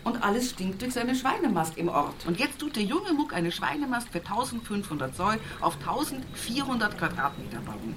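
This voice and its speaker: An actress playing a devout, humorous Bavarian countrywoman looking back on her life in an intimate radio monologue, earthy and often breathy. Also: fairly high pitch, big pitch swings, normal speed, hardly any pauses, noisy background. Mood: indignant, wry. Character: rural Upper Palatinate woman, devout, humorous, wistfully reminiscing. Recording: music behind the voice. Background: music.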